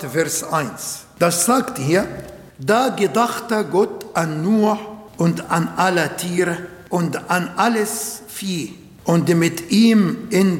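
A man whose first language is Arabic, preaching a sermon slowly and deliberately in German that is not his native tongue. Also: on the high side. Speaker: pastor. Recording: echoey hall.

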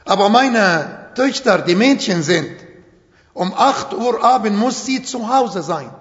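Prediger mit arabischem Akzent, hohe Stimme, langsam im Hall. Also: male voice, high-pitched, expressive, a bit bright. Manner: slow, emphatic, non-native German phrasing. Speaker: preacher. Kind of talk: sermon. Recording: echoey hall, dull sound.

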